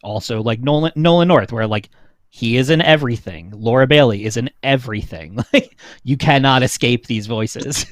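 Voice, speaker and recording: A young man with a medium-pitched voice in a dry, echo-free recording. He chats informally as one of a group of panelists, full of slang and given to chuckling. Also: moderate pace. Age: young adult to 30s. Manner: chatty, slangy, giggly. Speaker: panelist on a livestream. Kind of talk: casual chat.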